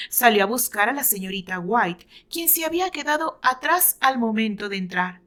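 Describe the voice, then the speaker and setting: Female storyteller, Mexican accent, fairly high voice, dramatised reading of romantic fiction. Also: a bit bright.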